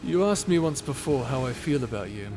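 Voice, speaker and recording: A man's voice: mid-range voice, expressive, brisk, a little mellow, lightly acted. A man playing a flirty, self-deprecating space pirate captain in an intimate audio roleplay, with a hesitant, nervously laughing manner. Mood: nervous, tender. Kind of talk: intimate ASMR roleplay acting. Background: music.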